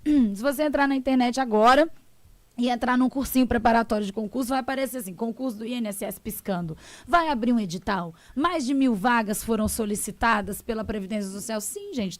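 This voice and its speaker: A woman's voice: fairly high pitch, animated, a little fast, no echo. A woman lawyer on a talk show, explaining in a didactic way and acting out her clients' words. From Brazil. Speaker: social security lawyer, guest.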